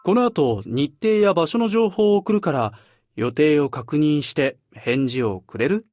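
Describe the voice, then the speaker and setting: A man playing a courteous young gentleman, polite, soft and affectionate, gently persuasive as a game character. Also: a little high, expressive, brisk, dry recording, studio quality, close to an everyday voice. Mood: fond, inviting. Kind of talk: character voice acting.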